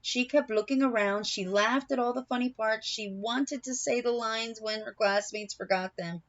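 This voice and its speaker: A woman reading a children's picture book aloud in an expressive, deliberate storytelling manner, with asides to young listeners. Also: fairly high pitch, no echo, highs cut off. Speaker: storybook reader.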